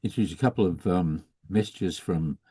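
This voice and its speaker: A man moderating an online discussion, hesitant and halting as he relays audience messages. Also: low-pitched, mellow, slightly rough, dry recording. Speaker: webinar moderator.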